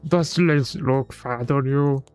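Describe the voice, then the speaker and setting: A man's voice: medium pitch, slow, a little mellow, clear, resonant tone, faint music behind, voice pushed up, lightly acted. A man voicing a brash, touchy visual novel hero who is proud of his muscles, indignant and boastful. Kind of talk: visual novel read-aloud.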